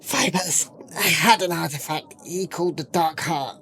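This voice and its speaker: A grave, creaky fantasy leader. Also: female voice, low-pitched, moderate pace, a bit bright. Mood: grave, weary. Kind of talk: fantasy audio drama acting.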